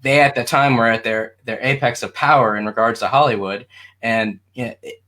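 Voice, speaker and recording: Male panelist, average pitch, loose rambling delivery, casual discussion style. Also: fairly even intonation, fairly quick, bright, forceful tone. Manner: rambling, informal.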